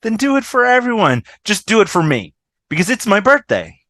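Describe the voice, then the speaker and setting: A woman's voice: medium pitch, sing-song, a little fast, a bit bright, no room sound. A woman playing a brash, selfish, sarcastic woman in a scripted comedy read over a video call. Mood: cheeky, pushy. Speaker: fan table-read actor.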